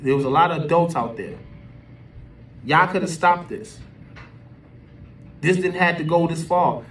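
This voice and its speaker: A man's voice: fairly high pitch, measured pace, fairly bright tone, slight background noise. An American man giving an unscripted opinion in an emphatic, colloquial, repetitive way, as an online commentator. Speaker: social media commentator. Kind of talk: unscripted opinion rant to camera. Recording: voice distant from the mic.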